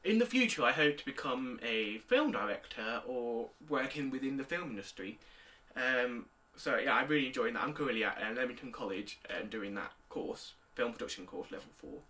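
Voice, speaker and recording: Young guy of eighteen, clear bright tone, a bit off-mic. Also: mid-range voice, lively intonation, moderate pace, far from the mic. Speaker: interviewee. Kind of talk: recorded video interview answers.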